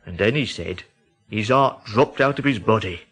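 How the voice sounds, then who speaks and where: A man's voice: on the low side, lively intonation, normal speed, a bit bright, breathy edge, full character voice. Agitated, fearful radio drama Englishman. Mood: hushed dread. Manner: dramatic, urgent storytelling. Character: alarmed, agitated English Midlands man spreading rumours of terror.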